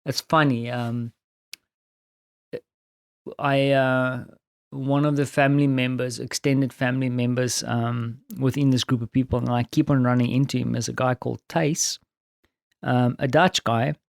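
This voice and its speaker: A tired man with a South African accent and Afrikaans as his first language, talking in a casual, reflective, halting solo audio diary. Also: medium pitch, level tone, creaky, dry recording, clean studio sound. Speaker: podcast host recounting his day.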